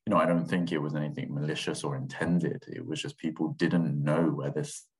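A man's voice: extremely low pitch, little pitch movement, brisk. A man in his thirties, raised in England after a childhood that began in Thai, talking reflectively and hesitantly as a podcast guest. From England, grew up in Swindon. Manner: reflective and hesitant, with many fillers and restarts. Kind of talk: casual interview.

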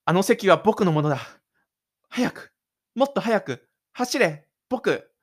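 Audio drama role: male actor as defensive high-school loner, male, cocky and scornful, talking to himself, voice a little high. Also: lively intonation, slow pace, full character voice. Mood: urgent. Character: shy, stubborn high-school boy boasting in his head. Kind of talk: inner-monologue radio drama acting.

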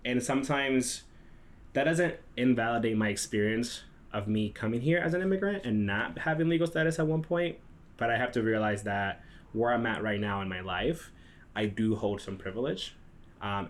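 A man's voice: mid-range voice, animated, normal speed. A young man from the Dominican Republic, co-hosting a casual podcast and speaking in an earnest, reflective way. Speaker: podcast co-host.

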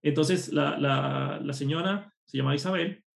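A man from Costa Rica, a programmer and course instructor, telling lively personal stories as a guest in a casual interview. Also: a little high, moderate pace, gravelly. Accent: none, a neutral Spanish. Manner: lively anecdotal storytelling.

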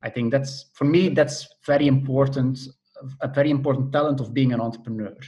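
A man's voice: mid-range voice, steady tone, fairly quick, a little mellow, muffled recording. A middle-aged man from Belgium, a professor of entrepreneurship, answering interview questions in a thoughtful way that explains things step by step, with frequent hesitations.

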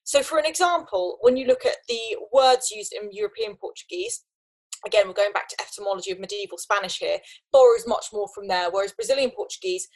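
A young woman in casual conversation, animated, often restarting her sentences and laughing between thoughts. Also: medium pitch, fairly quick, poor audio. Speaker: guest.

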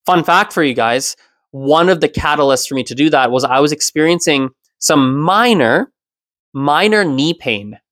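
A man in his thirties hosting his own solo podcast, talking in a reflective, explanatory way with the odd soft laugh. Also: fairly high pitch, expressive, moderate pace, dry recording, clean studio sound. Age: 30s. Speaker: podcast host.

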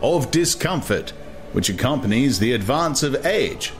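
A man playing a deep-voiced, learned ruler in a dramatized audiobook, speaking deliberately and calmly persuasive, with oratorical phrasing. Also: soft background music. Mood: solemn, reading aloud.

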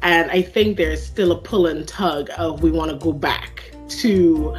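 Guest, female, Trinidadian accent, low-pitched, articulate and good-humored, faint music behind. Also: unhurried. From Trinidad and Tobago. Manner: articulate, laughs easily. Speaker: guest, people analytics expert. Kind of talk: interview answers.

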